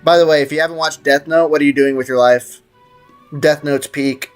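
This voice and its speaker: A man's voice: fairly high pitch, fairly even intonation, brisk, smooth. A man live streaming a video game, chatting casually and offhandedly with viewers and joking in slang. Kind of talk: casual live stream chat and gameplay commentary, reading out chat. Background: game music.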